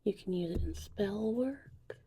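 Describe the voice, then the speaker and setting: Very mellow whispering woman, noisy recording. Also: medium pitch. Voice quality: soft, breathy whispering. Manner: unhurried, chatty, informal. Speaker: ASMR host. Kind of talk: whispered ASMR witch potion tutorial roleplay.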